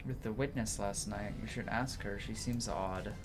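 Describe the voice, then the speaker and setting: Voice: mid-range voice, moderate pace, music in the background. A streamer reads game dialogue aloud in a dramatic way, stumbling now and then and correcting mid-word.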